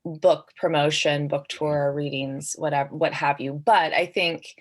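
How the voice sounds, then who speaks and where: A middle-aged woman with a low-pitched voice speaks as a guest author. She talks casually and informally, in the manner of a literary panel. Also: fairly even intonation, a little slow. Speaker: debut novelist, guest.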